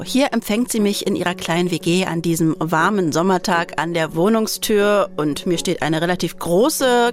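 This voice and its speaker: A woman host guides a documentary podcast with clear, measured narration. Her scripted storytelling runs over soft background music, and she shifts to friendly, direct questions in the interview. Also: medium pitch.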